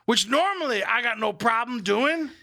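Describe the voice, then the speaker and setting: Man as burnout roadie, rambling absurd boasts; sharp, bright tone. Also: very high-pitched, animated, normal speed, full character voice. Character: spaced-out burnout male roadie storyteller. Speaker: podcast co-host. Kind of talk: improvised comedy bit.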